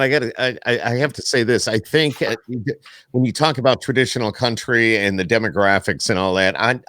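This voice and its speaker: A man's voice: mid-range voice, fairly bright tone, dry recording. An American man hosting a casual interview, sharing his opinions with enthusiasm. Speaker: podcast host.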